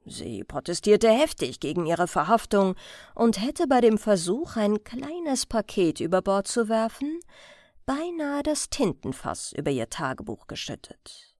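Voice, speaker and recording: A woman reading a crime story as an audiobook narrator in a measured, careful storytelling manner. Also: mid-range voice, very animated, darkish tone, no room sound, studio quality.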